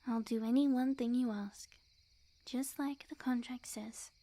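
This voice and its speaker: A woman playing a sweet, clingy, lovesick young witch in an ASMR roleplay, speaking softly and breathily in an intimate, coy, earnest way. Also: fairly high pitch, fairly even intonation, measured pace. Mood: resigned. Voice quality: soft, breathy, often near-whisper.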